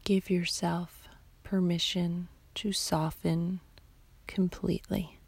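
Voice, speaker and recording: A woman guiding a sleep meditation in a soft, half-whispered, soothing voice, leaving long pauses between short phrases. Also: lowish voice, flat delivery, creaky, husky, dry recording. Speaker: meditation guide.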